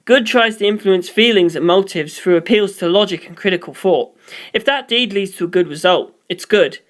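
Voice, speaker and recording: Mid-pitched voice narrating a story. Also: moderate pace. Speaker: audiobook reader. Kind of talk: scripted first-person story narration.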